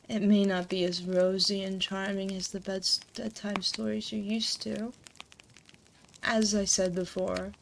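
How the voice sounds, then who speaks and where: A woman playing an ancient succubus demon queen, motherly and gently teasing, in a hushed, soothing, intimate ASMR roleplay, close to a binaural mic. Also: medium pitch, vocal fry. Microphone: close binaural 3Dio microphone. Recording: close binaural mic, noticeable background noise.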